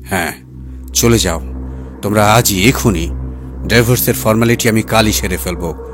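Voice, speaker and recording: A man's voice: on the low side, normal speed, soft background music, close to an everyday voice. A man voicing a stern, scheming businessman husband in an audiobook, with grave, emphatic, clipped phrases. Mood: bitter, accusing. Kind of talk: acted audiobook dialogue.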